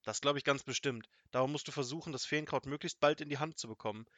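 A man voicing a wise, kindly talking toad who gives calm advice. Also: mid-range voice, fast talker, no echo, lightly acted.

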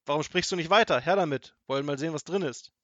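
A man voicing a gruff, vengeful middle-aged robber in a storybook reading, growling and boastful, with loud threats. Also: medium pitch, brisk, no echo, dull audio, close to an everyday voice. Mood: bossy triumphant. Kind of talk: storybook audiobook acting.

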